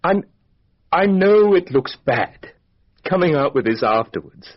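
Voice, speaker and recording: An actor playing a young, flippant British aristocrat who is a defensive murder suspect in a radio drama. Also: male voice, on the high side, sing-song, unhurried, husky, exaggerated voice. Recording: dull, band-limited radio drama recording.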